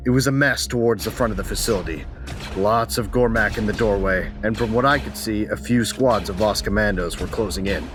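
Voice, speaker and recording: A man's voice: lowish voice, fairly quick, over music, lightly acted. An actor playing a battle-hungry, protective soldier who narrates his own story in a sci-fi audio drama. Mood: grim, dry.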